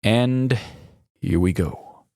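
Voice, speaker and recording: A man's voice: on the low side, extremely slow, creaky, dark tone, no echo, clean studio sound. An American man co-hosting a podcast, chatting and telling stories in a casual, playful, wry way. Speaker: podcast co-host. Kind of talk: casual chat and storytelling.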